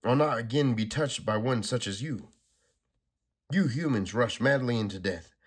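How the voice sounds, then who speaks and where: A grandiose cosmic tyrant, voiced by a man, slow and cold in his pompous threats. The voice is of middle pitch with a husky grain, louder than his narration. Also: natural voice. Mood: menacing. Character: cosmic male villain, cold, grandiose and menacing.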